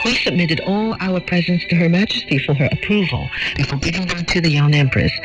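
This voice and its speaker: A man reading a memoir aloud as scripted audiobook-style narration, heard over lo-fi shortwave radio. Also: sits high. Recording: dull lo-fi shortwave radio, music underneath.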